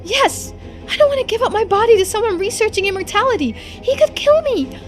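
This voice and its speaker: Woman doing a very high, earnest young woman. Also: animated, fairly quick, faint music behind. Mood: alarmed, defiant. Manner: earnest, hesitant, pleading. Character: anxious, earnest young island woman, sweet and stubborn. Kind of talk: visual novel character voicing.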